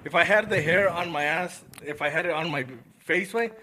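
Young man, twenties, crude blunt jokes, slightly high voice. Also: a little fast, pushed and bright. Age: 20s. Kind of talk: casual chat among friends.

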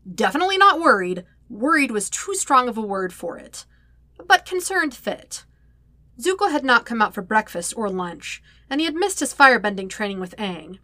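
A woman narrating fan fiction as a scripted story, with expressive, dramatic emphasis on key words. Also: a little high, no echo. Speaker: podfic narrator, reading own fan fiction.

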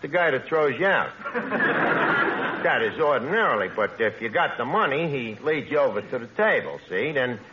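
An actor playing a brash, scheming, lowbrow tavern manager with a New York accent and would-be fancy words, in 1940s radio comedy style. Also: male voice, big pitch swings, moderate pace, crowd noise, natural voice. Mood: scheming, knowing. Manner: mangled grammar with pretentious fancy words, hemming. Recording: dull old radio recording, noisy.

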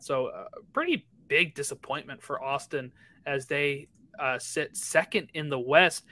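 Bright-voiced man rattling off match results. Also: fairly high pitch, dry recording. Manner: rattles through results quickly. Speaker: podcast co-host. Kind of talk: casual sports chat.

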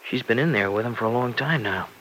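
Guy acting worried young son in law, bright tense voice, earnest n halting, old radio soap, slightly noisy. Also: mid-range voice, natural voice. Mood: grateful, subdued. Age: young adult. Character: devoted young son-in-law, earnest, anxious farm husband. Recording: noisy vintage radio drama recording.

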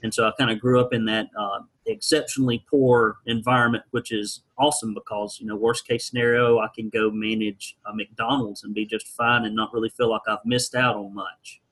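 Husky guy, folksy and wry, telling his story to an interviewer. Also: fairly low pitch, flat delivery, fairly quick, few pauses, fairly bright tone, rough recording. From rural Alabama. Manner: wry, folksy, blunt humor. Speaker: guest, business founder.

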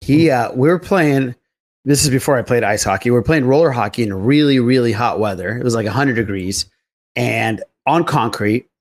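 A man's voice: mid-range voice, moderate pace. A man hosting a podcast in casual, chummy banter with his co-hosts. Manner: animated, chummy, addresses co-hosts as buddy. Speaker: podcast host.

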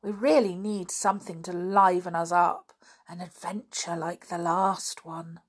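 A woman voicing an eager, excitable young schoolgirl in a children's storybook reading. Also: on the low side, measured pace, husky. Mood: chatty, earnest. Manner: excitable, insistent. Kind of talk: children's storybook read aloud.

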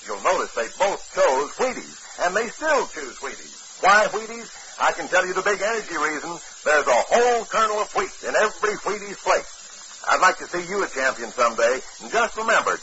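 A man reading an old-time radio advertisement as announcer, in punchy slogan phrases. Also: a little high. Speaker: commercial announcer. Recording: muffled, noisy old radio recording.

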